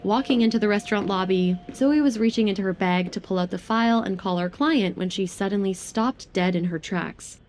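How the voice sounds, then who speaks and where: A woman's voice: medium pitch, fairly quick, few pauses, a little mellow, slight background noise. A woman narrating a scripted romance drama as its storyteller.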